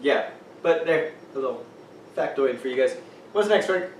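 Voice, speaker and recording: A man hosting a casual podcast at home, joking and teasing his guest and laughing loudly. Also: mid-range voice, expressive, normal speed. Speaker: podcast host. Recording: roomy, distant mic with faint noise.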